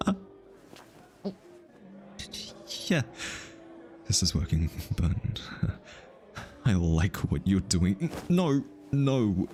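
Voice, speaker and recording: Casting: male actor as a smitten, stammering assassin for ASMR audio drama; low register, unhurried delivery, nervous over-explainer. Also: a little mellow, slight background noise, exaggerated voice. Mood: flustered, awkward. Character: easily flustered, lovestruck male hitman, awkward and analytical. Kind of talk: intimate ASMR audio roleplay.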